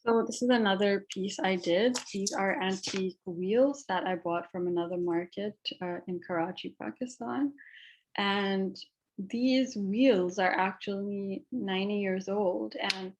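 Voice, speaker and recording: A woman's voice: mid-range voice, unhurried, a little hiss or hum, poor audio. A woman giving a panel talk about her artwork, speaking in a reflective, personal way with frequent ums and uhs. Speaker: artist presenting on a panel.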